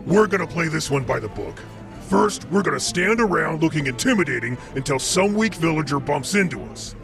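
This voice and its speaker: Very creaky, bossy demon villain. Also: male voice, on the high side, lively intonation, a little fast. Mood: scheming. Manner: blustering, impatient, menacing. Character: bossy irritable male demon villain, tiefling gang boss. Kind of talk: comedic fantasy villain acting. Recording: music behind the voice.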